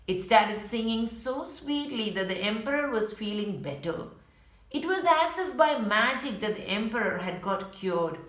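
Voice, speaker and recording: Expressive woman reading a storybook, roomy sound. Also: fairly high pitch. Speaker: storyteller reading a children's picture book aloud. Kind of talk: read-aloud storytelling with asides on the pictures. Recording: roomy, echoing indoor sound.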